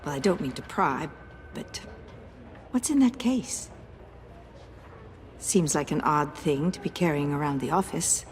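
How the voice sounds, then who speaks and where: A low-voiced, polished company chairperson. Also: female voice, animated, a little hiss or hum. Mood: businesslike, curious. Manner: brisk, businesslike. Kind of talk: video game voice acting.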